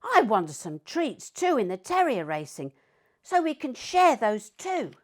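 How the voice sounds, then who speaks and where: An excitable young terrier, his voice jumping way up high with eagerness, as a woman reads it out. He speaks slowly and sings out his words in big swoops. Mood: eager.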